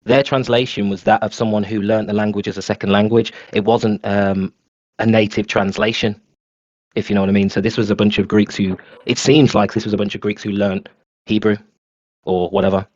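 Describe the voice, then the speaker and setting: A man's voice: lowish voice, little pitch movement, fairly quick, darkish tone, no room sound. Man talking over a dull lo-fi line. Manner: stumbling restarts, questioning tone. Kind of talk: casual discussion.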